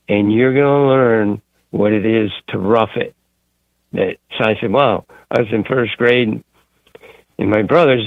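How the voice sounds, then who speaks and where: A man calling in to a talk radio show, telling a long rambling story in a gruff way. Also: fairly low pitch, dry recording, narrow, tinny audio. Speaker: caller.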